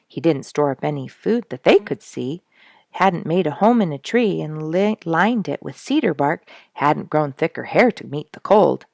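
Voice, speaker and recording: A woman reading a children's animal story aloud in an expressive, dramatic storyteller's manner. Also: deep voice, moderate pace, creaky, dry recording, clean studio sound. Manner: dramatic, rhetorical questioning delivery.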